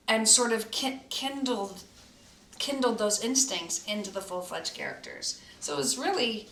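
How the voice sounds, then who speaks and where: A woman voice actor reflecting on her preparation in a relaxed behind-the-scenes chat, pausing often to find her words. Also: medium pitch. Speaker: voice actor in the radio play. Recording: roomy echo, distant mic, faint noise.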